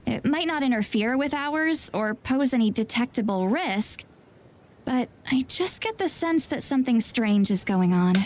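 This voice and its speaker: A woman playing a laid-back, sharp-witted shaman in a video game, with a drawling, nonchalant delivery. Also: sits high, lively intonation, measured pace. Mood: musing.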